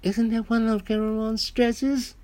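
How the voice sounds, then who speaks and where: A man's voice: high voice, level tone, measured pace, darkish tone, pitched above the performer's own voice. A man voicing a baffled, sweary male hospital patient in a radio play, given to exclaiming. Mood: confused.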